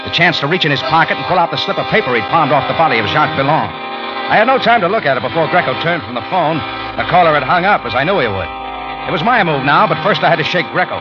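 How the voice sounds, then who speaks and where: An actor playing a tough, brisk American cafe owner who narrates his own adventure in a hardboiled radio drama, curt and slangy. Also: a little high, animated, close to an everyday voice. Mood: tense narration. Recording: muffled old radio recording, music behind.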